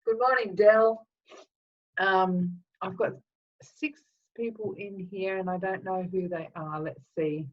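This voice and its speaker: A woman energy healer hosting a live webinar with a slightly croaky throat, chatting casually with lots of ums and greeting viewers by name. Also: medium pitch, steady tone, takes it slowly. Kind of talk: casual live-stream talk. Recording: distant, dull lo-fi webinar audio.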